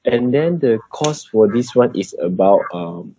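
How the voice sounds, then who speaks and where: A man with a Malaysian accent giving an update in an informal work meeting on a video call, in halting non-native English. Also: mid-range voice, lively intonation, dark tone. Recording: dull, noisy video call with clicks.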